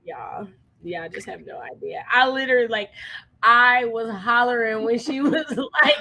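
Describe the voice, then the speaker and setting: An American woman co-hosting a book livestream, chatting casually and telling stories in an animated, dramatic, slangy way. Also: fairly high pitch, moderate pace, gravelly, low-quality recording. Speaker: co-host of a book discussion livestream. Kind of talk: casual chat.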